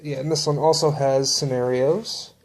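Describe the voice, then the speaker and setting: Guy with a gravelly voice, rambling thru his book collection, lots of ums and uhs. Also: mid-range voice, moderate pace. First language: English. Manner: relaxed, rambling with many fillers. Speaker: collector showing books. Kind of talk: casual show-and-tell.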